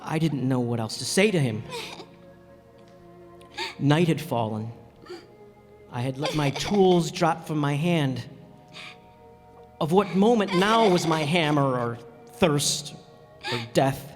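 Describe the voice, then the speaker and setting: Man acting gentle radio play narrator, reflective, slightly high voice, hesitant, reverberant hall. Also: lively intonation, takes it slowly, pauses often. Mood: tender, troubled. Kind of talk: dramatic storytelling in a radio play. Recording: echoey hall with music bed.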